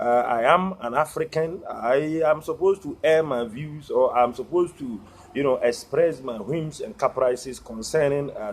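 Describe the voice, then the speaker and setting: A Ghanaian man, a social media activist, talking to his viewers in a halting way, with many "uh" fillers, repeated words and a habit of asking "what" before the key word. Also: mid-range voice, slight background noise. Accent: Ghanaian English. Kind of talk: monologue addressed to viewers.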